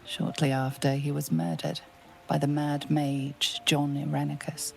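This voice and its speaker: Grave fantasy game narrator lady, low voice, very even and solemn, bit of fry. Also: a little slow, faint music behind. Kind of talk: scripted dramatic narration.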